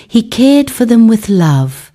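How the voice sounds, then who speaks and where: Slow, mellow woman telling bedtime stories. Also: on the high side, very animated. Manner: gentle, unhurried, simple short phrases. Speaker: children's bedtime storyteller. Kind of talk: scripted fairy-tale narration for young children.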